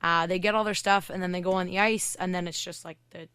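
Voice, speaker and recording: A woman hockey coach from Canada, a podcast guest, talking in a loud, chatty way with lots of "like" fillers. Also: fairly low pitch, steady tone, clean tone, dry recording. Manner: chatty, fast storytelling with many "like" fillers. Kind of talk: casual podcast interview.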